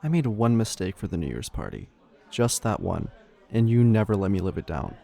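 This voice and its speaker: A man playing a casual, slightly defensive younger helper in an audio drama, speaking a little hesitantly in a low, creaky voice. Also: big pitch swings, normal speed, mellow. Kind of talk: audio roleplay acting.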